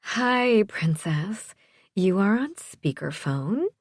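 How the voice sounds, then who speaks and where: Woman playing a lilting, higher-voiced affectionate mom. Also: big pitch swings, slow, dry recording, studio quality. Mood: affectionate, teasing. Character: bright, affectionate, teasing mother of grown daughter.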